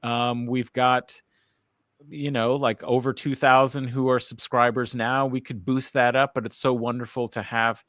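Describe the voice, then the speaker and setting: A man's voice: medium pitch, flat delivery, measured pace, a bit bright, dry recording, clean studio sound. A man hosting a live-streamed talk show, enthusiastic and a little rambling, with frequent fillers. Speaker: host.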